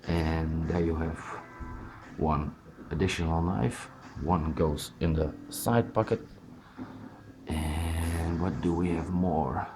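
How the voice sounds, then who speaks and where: A man with a Dutch accent gives a casual, hands-on amateur review. His voice is very deep and his delivery is slow. He speaks informally and haltingly in English, which is not his native language. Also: fairly even intonation, noisy background. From Netherlands. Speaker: amateur collectible toy reviewer.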